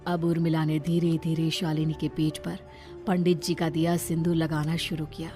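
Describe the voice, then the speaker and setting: A woman's voice: sits low, flat delivery, normal speed, mellow, faint music behind. A woman narrator reading a scripted horror story.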